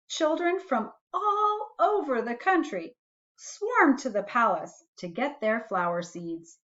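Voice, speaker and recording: Slow, animated woman reading a storybook. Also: mid-range voice, lo-fi sound. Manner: deliberate, animated storytelling with musing asides to young listeners. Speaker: principal reading aloud to children. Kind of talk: expressive children's storybook read-aloud.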